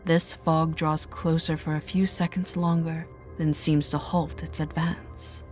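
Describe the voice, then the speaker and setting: A woman narrating a scripted horror story with a measured, dramatic delivery. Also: deep voice, level tone, a little mellow. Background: music.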